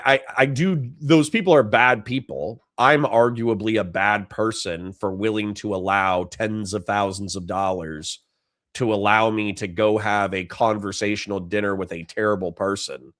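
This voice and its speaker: Middle-aged male livestream host, low dry voice, rambling and sincere, close dead-sounding room. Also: dry recording. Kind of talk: casual livestream chat reading donations.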